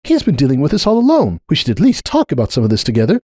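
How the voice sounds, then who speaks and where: Guy putting on frantic mom pony voice for an audiobook, way high, fretful and pleading. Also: huge pitch swings, rapid-fire, warm tone, breathy edge, no room sound, clean studio sound, full character voice. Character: anxious, desperate mother pony, female.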